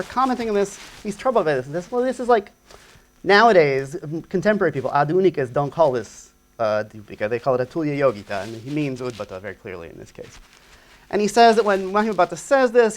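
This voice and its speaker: A man's voice: fairly high pitch, slight background noise. A middle-aged man giving an academic lecture and answering questions, thinking aloud with fillers, on a lapel mic in a lecture hall. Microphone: lapel mic at a lectern.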